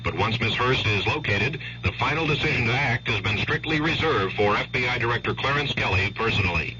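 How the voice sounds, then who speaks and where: A man's voice: mid-range voice, animated, fairly quick, pushed and bright. An American man giving a scripted report as a network radio news correspondent. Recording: dull noisy 1970s radio broadcast.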